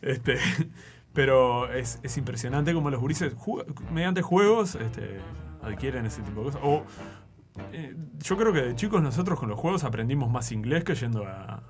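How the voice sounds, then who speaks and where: A man's voice: medium pitch, expressive, normal speed, soft background music. Chatty Uruguayan man in his thirties. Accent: Uruguayan Spanish. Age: 30s. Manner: chatty, self-deprecating, asks for agreement. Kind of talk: casual chat.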